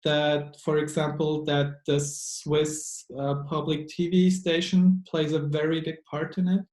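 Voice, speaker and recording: A man in his thirties interviewing over a video call from a room with bookshelves, asking long, halting questions with many fillers. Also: a little high, flat delivery, darkish tone, echoey room, low-quality recording. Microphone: webcam video call.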